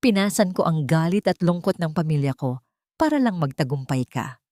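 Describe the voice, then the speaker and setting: A woman's voice: sits low, animated, moderate pace, dark tone, no echo, studio quality. A woman playing a betrayed, self-sacrificing young provincial woman in an audio story, aggrieved and reproachful. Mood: hurt, reproachful.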